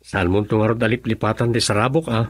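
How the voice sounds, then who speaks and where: An actor playing an earnest, lovelorn young provincial farmer in an Ilocano radio drama, with an Ilocano accent. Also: male voice, lowish voice, fairly even intonation, quick pace, no room sound, close to an everyday voice. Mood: wistful.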